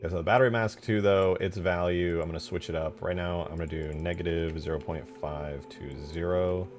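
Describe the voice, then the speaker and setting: A man giving casual, slangy commentary as a game modder, muttering to himself as he thinks out loud while working. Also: sits low, fairly even intonation, fairly quick, over music.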